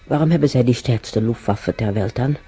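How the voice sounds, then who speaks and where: An actor playing an ailing older man in hospital, musing haltingly and bitterly in a naturalistic radio drama. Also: mid-range voice, mellow, husky. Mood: bitter reminiscing. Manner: halting, musing, repeats himself. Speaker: hospital patient.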